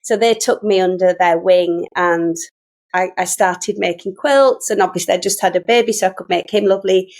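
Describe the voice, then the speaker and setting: A woman's voice: lowish voice, moderate pace, a little mellow. A middle-aged woman from Yorkshire with a Yorkshire accent, telling her story in a casual, chatty, rambling way as an interview guest. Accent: Yorkshire English. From Yorkshire, England. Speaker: guest, sewing teacher.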